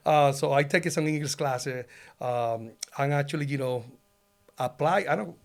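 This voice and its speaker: A man's voice: a little high, moderate pace, vocal fry. A middle-aged man from Puerto Rico, a native Spanish speaker with a Puerto Rican accent, telling his story casually and ramblingly as a guest, with frequent filler phrases. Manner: rambling, peppers talk with "you know". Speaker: podcast guest, loan officer.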